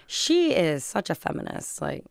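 A woman's voice: low voice, very animated, measured pace, gravelly, no room sound, studio quality. A woman in her forties talking candidly as a podcast guest, blunt and frank and swearing freely. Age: 40s. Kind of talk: candid casual conversation.

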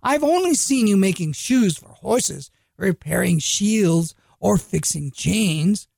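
Man reading a smooth-talking street trader, flattering, husky and animated. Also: high voice, slow, dry recording, clean studio sound, pitched above the performer's own voice, full character voice. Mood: sly. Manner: wheedling, flattering.

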